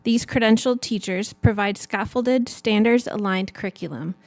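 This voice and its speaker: A woman's voice: medium pitch, normal speed, a little mellow, no room sound. Earnest woman reading a funding request. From California. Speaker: education foundation director. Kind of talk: prepared public statement. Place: city council chamber.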